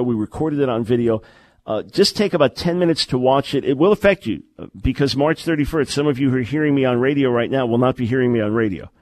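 A man's voice: mid-range voice, a little mellow, no room sound. A man hosting a call-in radio talk show, talking in an earnest, emphatic way and repeating words to stress a point.

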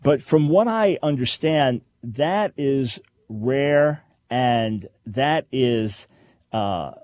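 Animated preacherly male radio host. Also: mid-range voice, very slow, dry recording. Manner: animated, preacherly, acts out quoted voices. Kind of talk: call-in radio show talk. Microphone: studio microphone.

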